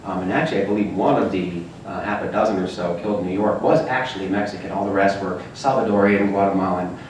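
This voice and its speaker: A man's voice: low-pitched, quick pace, a little mellow. A man on a university panel answering an audience question, hesitant and full of fillers, speaking into a handheld mic. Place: large echoey lecture room. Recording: echoey room from far off with noise.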